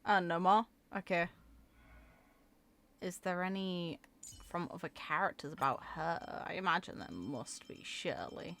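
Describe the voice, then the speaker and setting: A woman's voice: lowish voice, a little slow, a bit bright. A woman giving casual gaming reaction commentary in short, wry, informal asides. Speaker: gamer reacting to character voicelines.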